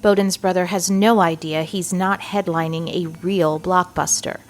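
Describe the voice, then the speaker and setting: A woman's voice: on the low side, fairly even intonation, moderate pace, a little hiss or hum, lightly acted. A woman playing a patient, authoritative spy agency chief, matter-of-fact, in an audio comedy. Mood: briefing, firm. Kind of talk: scripted audio comedy acting.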